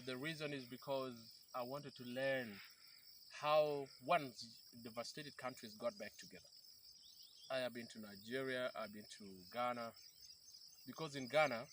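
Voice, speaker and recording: A man's voice: mid-range voice, fairly bright tone, slight background noise. A man in his thirties from South Sudan, explaining earnestly as a guest in an outdoor interview by a river, often restarting his sentences. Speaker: peace activist guest. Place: outdoors by a river in rural bushland.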